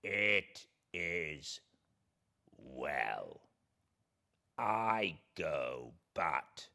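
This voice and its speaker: Man voicing a menacing, slow, vengeful monster. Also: sits low, vocal fry, pushed and bright, heavily acted. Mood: cold, ominous. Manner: declamatory, threatening, drawn-out words. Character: vengeful, wretched, menacing monster, eloquent and embittered. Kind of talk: dramatic audiobook reading of classic novel.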